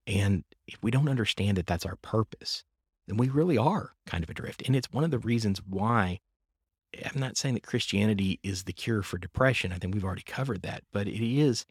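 A man's voice: lowish voice, breathy edge, no echo, studio quality. A middle-aged man hosting a faith-based podcast, talking earnestly and reflectively in long linked thoughts. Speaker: podcast host.

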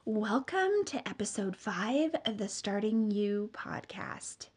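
A woman's voice: medium pitch, sing-song, slow, soft background music. A woman in her thirties hosting a solo business podcast, chatty and upbeat with many fillers, sounding a bit nasal from a cold. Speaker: podcast host and business mentor.

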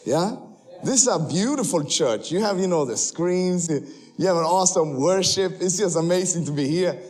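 A young man born in Sweden gives a casual, joking sermon as a guest missionary. His voice sits a little high for a man. He talks in a loose, friendly way with plenty of fillers. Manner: chatty, joking, frequent laughs and fillers. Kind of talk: casual sermon to a church crowd. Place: church sanctuary.

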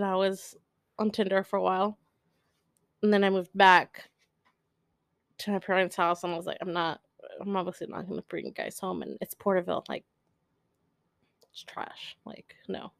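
A woman's voice: mid-range voice, gravelly, no echo, clean studio sound. A young woman co-hosting a casual couple's podcast, telling stories candidly. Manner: candid, storytelling, quick laughs. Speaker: podcast co-host.

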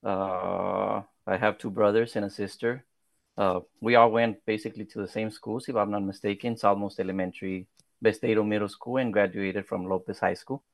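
A man in his thirties with a Spanish accent, speaking English as his second language. His low voice has a gravelly fry and sounds dry and close, with no room around it. He talks in a shy, halting way, full of fillers and restarts. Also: steady tone, dry recording. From South Texas. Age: 30s. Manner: hesitant, many fillers and restarts; modest, soft-spoken. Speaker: political candidate. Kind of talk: podcast interview.

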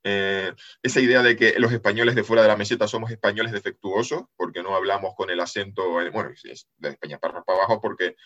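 A man's voice: lowish voice, fairly even intonation, quick pace, hardly any pauses, a bit bright, dull audio. A man from the Canary Islands with a Canarian accent, a podcast guest chatting casually and repeating words as he tells stories. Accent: Canarian Spanish. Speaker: podcast guest, geopolitics analyst. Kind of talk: casual chat.

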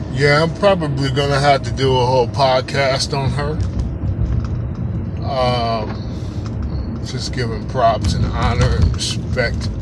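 A man's voice: medium pitch, fairly even intonation, slow, pauses often, heavy background noise. A man in his fifties hosting a casual solo podcast, rambling and reflective, repeating himself as he talks. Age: 50s. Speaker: podcast host.

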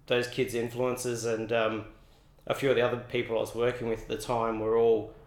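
A man's voice: mid-range voice, monotone, normal speed. A young Australian man, an artist, chatting in an interview in a loose, rambling way full of "like" and restarts. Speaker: artist guest. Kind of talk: casual interview. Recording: roomy echo.

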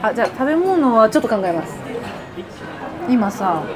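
Woman in her thirties, animated slightly high voice, colloquial casual podcast chat, restaurant chatter behind. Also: normal speed. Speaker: podcast host. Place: restaurant, recorded while eating. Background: crowd chatter.

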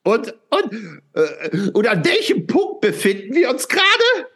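A man's voice: very high voice, huge pitch swings, unhurried, fairly bright tone, husky, heavily acted. A man voicing an agitated, naive wanderer in a surreal audio drama, insistent and stammering with questions. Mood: curious, sceptical.

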